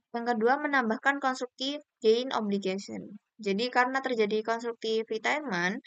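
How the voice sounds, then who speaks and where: Young woman, student presenter in explanatory mode, clear smooth tone, dry sound. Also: a little high, fairly bright tone, dry recording. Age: young adult. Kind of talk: explanatory class presentation of slides.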